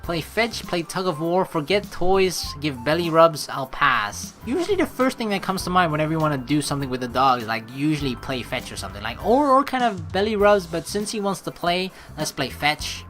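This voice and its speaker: A woman gives chatty, playful commentary on a game. Her pitch swings widely as she rambles and reads aloud. Game music plays underneath. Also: expressive, brisk, few pauses, a bit bright. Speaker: let's player reading and reacting to a game. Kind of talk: casual gameplay commentary.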